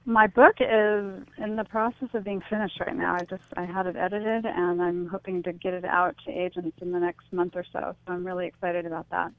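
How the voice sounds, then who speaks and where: A woman's voice: on the low side, flat delivery, a little fast, few pauses, no echo. A woman being interviewed on radio over a narrow phone-like line, explaining her views earnestly in a conversational way. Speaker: interview guest, author.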